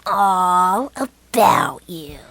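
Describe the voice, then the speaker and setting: An actress playing a scheming, mocking devil voice in a robot's head in a comedy radio play. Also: female voice, lowish voice, animated, drawn-out words, fairly bright tone, no echo, exaggerated voice. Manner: mocking, sneering, cackles.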